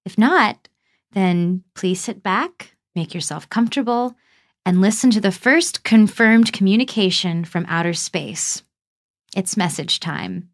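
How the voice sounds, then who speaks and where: A woman's voice: mid-range voice, unhurried, dry recording, clean studio sound, natural voice. An actress playing a curious, thoughtful woman podcaster, narrating an audio drama and confiding directly in the listener. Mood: intrigued, hushed.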